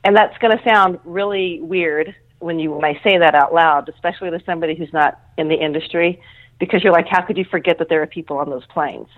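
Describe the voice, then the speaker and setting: A woman's voice: on the low side, brisk. A middle-aged woman being interviewed, explaining earnestly and informally and often rephrasing as she goes. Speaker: interview guest, air traffic control manager. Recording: thin phone-like line.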